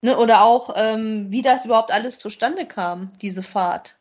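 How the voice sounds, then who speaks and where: A woman's voice: on the high side, moderate pace. A woman, co-hosting a podcast and chatting casually as she gives a review. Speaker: podcast co-host.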